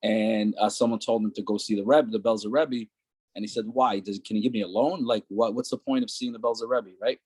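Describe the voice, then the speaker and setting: A man's voice: on the low side, fairly even intonation, brisk, darkish tone, breathy edge, dry recording. A man hosting an interview, asking long, loose questions full of fillers. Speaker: interviewer and host.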